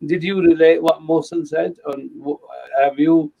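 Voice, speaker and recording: Gravelly male host, slightly high, hesitant, heard from afar on a lo-fi recording. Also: flat delivery, a little slow, dark tone, recorded from a distance. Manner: hesitant questioning.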